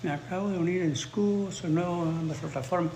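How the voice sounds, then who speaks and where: Spanish-native guy teaching english on a live, repeats stuff slowly, faint hum behind. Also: high voice, a little mellow. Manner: bilingual, repeats phrases slowly for learners. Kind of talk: casual livestream chat with viewers. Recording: roomy echo, faint ambience.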